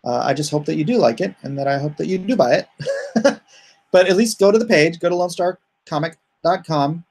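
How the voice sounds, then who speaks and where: A man's voice: a little high, brisk, darkish tone. A man hosting a livestream, chatting casually and reading viewer comments aloud in a rambling, joking way. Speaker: comic artist hosting a livestream.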